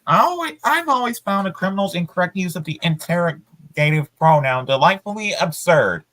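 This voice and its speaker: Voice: medium pitch, lively intonation, a little fast, a bit bright, natural voice. A story reader playing a shy, bookish young woman sleuth who is smitten, wordy and earnest.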